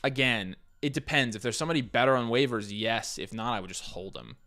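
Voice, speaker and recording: An American man hosting a live fantasy football Q&A, casually thinking aloud as he reads questions and gives quick picks. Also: medium pitch, sharp tone, faint music behind. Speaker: fantasy football livestream host.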